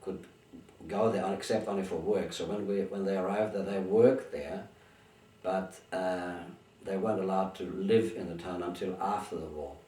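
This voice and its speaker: An older man with a very deep voice, born in Bulgaria and raised first on Bulgarian, tells his family history in halting, restarting sentences. He sits some distance from the mic in an echoey room, with a faint hiss underneath. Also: steady tone, dark tone. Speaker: interviewee. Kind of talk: recalling memories in an interview.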